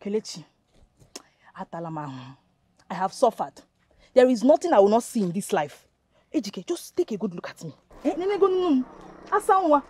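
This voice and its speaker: High-pitched, scornful Nigerian village woman. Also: very animated, moderate pace, long pauses, dark tone, husky. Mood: scornful, exasperated. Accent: Nigerian Igbo. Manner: scolding, dismissive, repeats herself. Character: scornful, money-minded young village woman. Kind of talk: Nollywood-style film acting.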